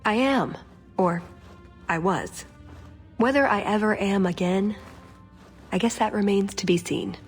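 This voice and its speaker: A young female elf from a video game voiced by a woman. She is earnest and quick-witted with a cheeky streak. Her mid-range voice rings in a hall, with faint music behind it. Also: mid-range voice, natural voice. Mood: earnest, reflective. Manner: earnest, lightly playful. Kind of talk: video game character acting.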